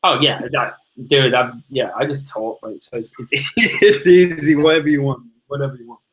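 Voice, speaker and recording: A man's voice: medium pitch, normal speed, rough recording. A man, chatting casually and enthusiastically as a podcast guest, rambling and calling everyone "man" and "dude". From Liverpool, England. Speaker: podcast guest, success coach. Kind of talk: casual conversation.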